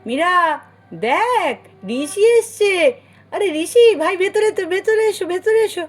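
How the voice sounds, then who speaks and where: A woman's voice: very high voice, expressive, a little slow, soft background music, pitched above the performer's own voice. A woman voicing a caring, teasing village housewife in a doll story, with a coaxing, familiar manner. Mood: excited welcome.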